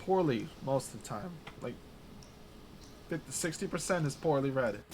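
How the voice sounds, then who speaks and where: A man's voice: mid-range voice, lively intonation, normal speed, darkish tone, a little hiss or hum. Casual streamer man reading aloud. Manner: casual, joking and self-deprecating asides. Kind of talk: live-streamed reading of a horror visual novel.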